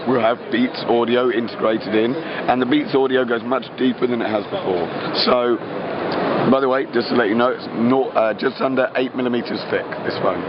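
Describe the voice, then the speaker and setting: Croaky man demoing a phone. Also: mid-range voice. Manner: fillers, quick geeky explaining. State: croaky, tired voice. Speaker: company product presenter. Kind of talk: casual product demo. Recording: lo-fi with loud background noise.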